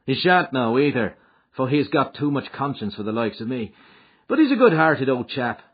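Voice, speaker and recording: A man voicing a bold, hearty young gangster in an audiobook, cocky and quick to answer back. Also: mid-range voice, very animated, slightly rough, narrow, tinny audio, pitched above the performer's own voice. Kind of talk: audiobook character acting.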